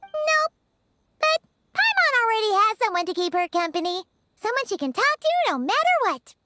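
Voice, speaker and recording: Very high-pitched, excitable little girl. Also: normal speed, full character voice. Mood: cheerful, boastful. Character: excitable little girls, childlike, playful and chatty. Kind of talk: animated video game voice acting.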